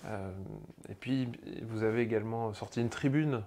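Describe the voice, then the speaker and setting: A male interviewer with a somewhat low voice asks his guest long questions. He searches for words and restarts often as he builds each one. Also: normal speed, mellow, a little hiss or hum.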